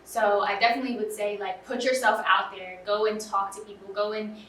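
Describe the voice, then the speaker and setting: A young woman student talks earnestly in a casual interview, leaning on "um" and "you know" as she thinks aloud. Her voice is bright, and she sits back from the mic in a roomy space. Faint ambience hums behind her. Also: mid-range voice, flat delivery, brisk, distant mic, a little hiss or hum. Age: young adult. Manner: earnest, filler-heavy with frequent "um" and "you know".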